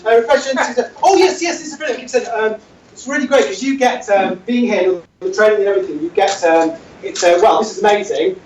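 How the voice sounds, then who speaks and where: A man's voice: sits high, fast, crowd noise, natural voice. A man playing a gossipy, teasing manager who laughs through his lines. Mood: joking. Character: showy joking male boss, gossipy and cheeky. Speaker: health and safety manager. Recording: roomy, distant, dull, noisy hall.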